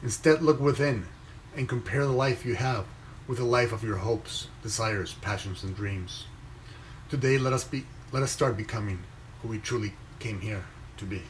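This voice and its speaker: A young man raised in Venezuela, reading a motivational post aloud and commenting on it in a slow, measured way with pauses between phrases. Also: on the low side, slight background noise, low-quality recording. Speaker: inspirational speaker reading his own post.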